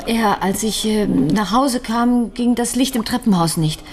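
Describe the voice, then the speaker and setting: Schauspielerin als gefasste, mitgenommene Witwe, leichtes Hintergrundrauschen. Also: female voice, a little high, unhurried, darkish tone, a little hiss or hum, natural voice. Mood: shaken, halting. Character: shaken but composed widow, recently attacked. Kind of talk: radio crime drama acting.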